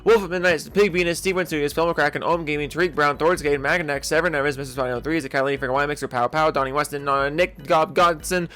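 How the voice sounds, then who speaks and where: A man's voice: on the high side, steady tone, fast, hardly any pauses, pushed and bright. A man who makes videos, talking in a blunt, sweary, sarcastic way. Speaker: video creator. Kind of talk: opinionated rant and reading out supporter names.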